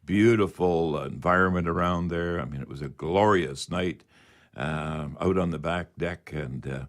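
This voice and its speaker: Deep-voiced folksy man hosting radio. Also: a little slow. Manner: folksy, rambling. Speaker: radio show host. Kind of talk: casual live radio talk.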